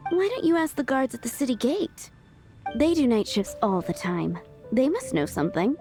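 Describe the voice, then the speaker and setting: A woman's voice: a little high, expressive, moderate pace. A woman playing a playful, self-possessed young tavern owner in a video game, with a breezy, teasing manner. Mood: helpful. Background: music.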